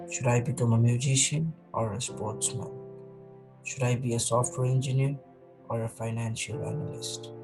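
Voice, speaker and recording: A man with a Nepali accent hosting a podcast, reading a measured, earnest introduction and then asking interview questions. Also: medium pitch, rough recording. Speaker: podcast host.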